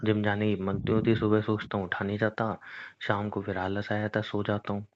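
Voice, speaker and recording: A man's voice: on the low side, monotone, a little fast, close to an everyday voice. A young man playing a lazy, evasive boyfriend in an acted phone-call drama, making casual, whiny, defensive excuses. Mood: whiny, resigned. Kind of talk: acted phone-call conversation between a couple.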